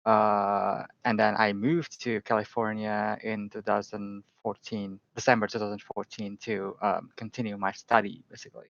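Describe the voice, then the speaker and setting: A man in his thirties from Indonesia, a guest photographer talking casually on a podcast, relaxed and joking, with lots of fillers. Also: lowish voice, normal speed, gravelly, few pauses, dry recording. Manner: relaxed, jokey, frequent fillers. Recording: slightly dull lo-fi audio.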